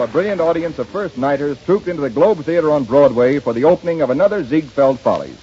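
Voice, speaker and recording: Man, classic radio announcer, declamatory, slightly high and husky. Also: darkish tone. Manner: polished, declamatory. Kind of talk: formal scripted introduction. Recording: muffled 1930s radio broadcast.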